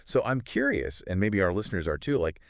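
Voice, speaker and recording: Male podcast co-host: low, expressive voice, chatty storytelling, dry booth sound. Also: brisk, vocal fry, no room sound. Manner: animated storytelling, relaxed fillers. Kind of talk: casual discussion podcast.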